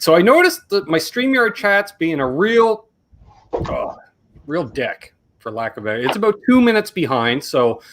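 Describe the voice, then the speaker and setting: A man's voice: fairly high pitch, a bit bright. A middle-aged man with a Canadian accent, hosting a casual live stream, rambling and joking as he reads out names while his voice is going. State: losing his voice, coughing. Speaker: host.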